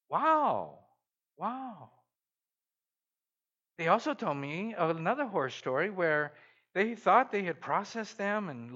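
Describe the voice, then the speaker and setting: A man's voice: fairly bright tone, highs cut off. A middle-aged man who grew up in Guatemala, preaching a sermon as a guest speaker and telling stories, acting out the people he quotes. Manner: storytelling, acts out quoted voices with big pitch jumps. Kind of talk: sermon with anecdotes.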